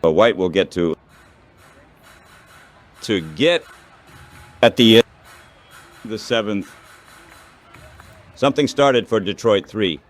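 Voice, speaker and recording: Slow-paced synthetic male baseball announcer. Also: on the low side, animated, faint music behind. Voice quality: synthetic text-to-speech voice. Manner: clipped short phrases. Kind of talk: baseball radio play-by-play commentary.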